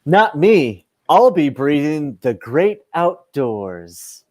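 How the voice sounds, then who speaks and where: A boastful outdoorsman hero acted by a man from a radio comedy. He talks slowly with big swoops in pitch, cocky throughout. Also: sing-song, no echo, studio quality, exaggerated voice. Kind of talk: radio comedy acting over video call. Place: room at home, video call.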